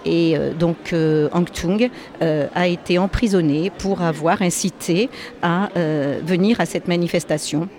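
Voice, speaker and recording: A woman's voice: on the low side, measured pace, a little mellow, a little hiss or hum. A woman who volunteers for a human rights group, earnestly explaining a case step by step. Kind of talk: informative explanation. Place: busy public hall.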